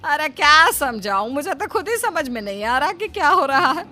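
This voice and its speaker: A woman's voice: high-pitched, big pitch swings, normal speed, pushed and bright, full character voice. A woman voicing a bossy, ailing mother-in-law. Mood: grumbling. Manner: complaining, bossy. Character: ailing possessive middle-aged mother-in-law. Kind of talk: cartoon voice acting.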